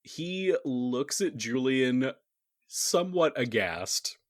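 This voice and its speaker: Animated game master, man. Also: medium pitch, slow pace, no echo, clean studio sound. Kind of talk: casual tabletop game narration and banter.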